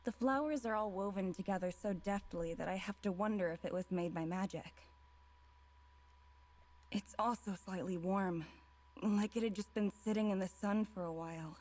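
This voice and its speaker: A woman's voice: medium pitch, normal speed, a little mellow, poor audio. A woman reading a visual novel's dialogue and first-person narration aloud.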